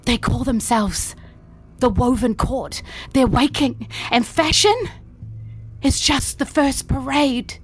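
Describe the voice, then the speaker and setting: A woman voicing a terrified female model who shares sinister secrets in a hushed, dramatic way for a tabletop game. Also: high-pitched, slow pace, husky, faint music behind, voice pushed up, exaggerated voice. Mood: ominous dread.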